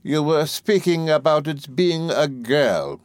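A man's voice: on the high side, lively intonation, slow, no echo, pitched above the performer's own voice. A man voicing a domineering, brusque older English aunt in an audiobook, with emphatic, commanding delivery. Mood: insistent. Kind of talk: audiobook character voice.

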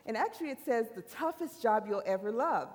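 A woman from Haiti giving a personal faith testimony at a university worship service, earnest and halting, often restarting her sentences. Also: a little high, animated, a little mellow. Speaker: worship speaker. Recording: long hall reverb.

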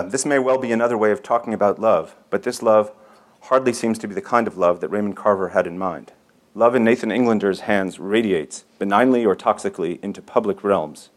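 Male event host, lowish register, formal and bookish, reading a prepared introduction with care. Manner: formal, erudite, deliberate phrasing. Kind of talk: scripted literary introduction read aloud.

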